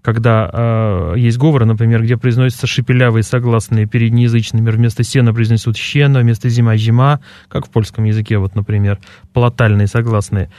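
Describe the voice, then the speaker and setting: A man explains dialect research to a radio host in a measured, teacherly way. His voice sits at an ordinary pitch. It sounds close and dry, with no room sound. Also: level tone, darkish tone, no echo. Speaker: dialectologist guest. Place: radio studio.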